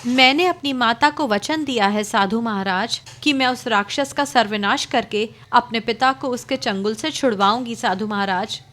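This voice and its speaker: A woman's voice: fairly high pitch, moderate pace, few pauses, a bit bright, natural voice. A woman voicing a brave, determined young princess in a cartoon. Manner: resolute, reassuring.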